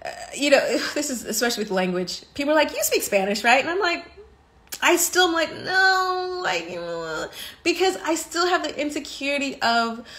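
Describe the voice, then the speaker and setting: An American woman in her thirties talks straight into a phone held close, hosting a relaxed live chat from a bright living room. Her voice sits a little high for a woman, and she speaks animatedly, gesturing as she goes. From United States. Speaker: podcast host on a live stream. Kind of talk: casual live-stream chat.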